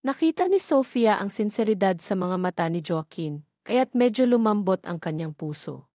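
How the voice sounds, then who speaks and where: A woman with a Filipino accent reading a romance story aloud as a steady, scripted narration. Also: mid-range voice, moderate pace, dry recording, clean studio sound. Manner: steady storytelling read, voicing all characters lightly.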